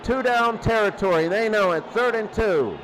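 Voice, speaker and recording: A man doing excitable live football play-by-play in a radio style, with conversational asides between plays. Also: high-pitched, normal speed, a little hiss or hum, low-quality recording. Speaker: play-by-play commentator of a simulated football tournament.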